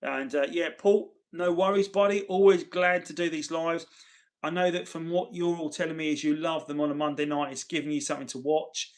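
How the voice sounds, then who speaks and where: A man hosting a casual livestream, chatting chummily with viewers, his voice tired and getting gravelly. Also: on the high side, fairly even intonation, brisk. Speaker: livestream host. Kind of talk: casual livestream chat, reading and answering viewer messages.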